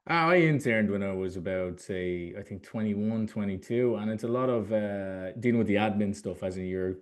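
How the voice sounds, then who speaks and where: A man's voice: fairly low pitch, moderate pace. A young Irish man hosting a casual interview in an informal, loosely hesitant way. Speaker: podcast host.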